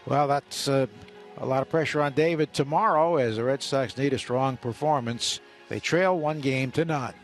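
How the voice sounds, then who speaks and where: A man working as a baseball broadcaster, giving blunt postgame commentary in halting, conversational phrases. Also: mid-range voice, soft background music.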